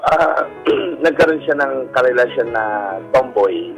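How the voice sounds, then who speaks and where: A middle-aged man with a Filipino accent and a husky voice, telling his personal story haltingly and candidly in a radio interview. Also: medium pitch, lively intonation, low-quality recording. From Philippines. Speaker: guest telling his life story, a stage performer. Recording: radio show with music bed. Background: background music.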